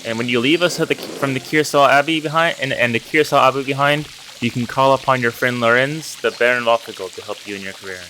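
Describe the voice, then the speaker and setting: A man voicing a humble old monk mentor in a video game, gentle, measured and fatherly. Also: mid-range voice, background noise, natural voice. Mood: proud, humble. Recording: music under the voice.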